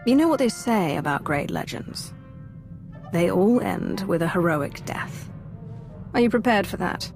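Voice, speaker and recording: A woman's voice: lowish voice, expressive, a little slow, creaky, music in the background. A woman playing an arrogant Sith sorceress in a video game, proud and threatening in her delivery. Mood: menacing. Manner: haughty, threatening. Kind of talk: scripted video game dialogue.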